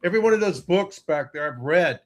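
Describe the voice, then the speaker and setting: Older texas guy on a video call, kinda high voice, joky and boastful in a playful way. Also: lively intonation, brisk. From Texas, USA. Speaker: guest. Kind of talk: casual video call chat.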